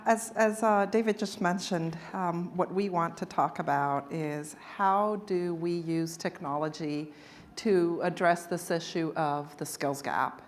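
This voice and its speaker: A woman with a lowish voice moderates a panel on a conference stage. She pauses often with "um" as she introduces colleagues and poses questions. Faint noise sits under her voice. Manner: hesitant, many ums.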